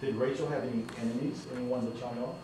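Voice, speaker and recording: An actor reading the part of a detective questioning students, at a table read. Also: male voice, medium pitch, fairly even intonation, dark tone, close to an everyday voice. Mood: businesslike. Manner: brisk, matter-of-fact questioning. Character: plainclothes detective questioning teens. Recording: echoey room from far off with noise.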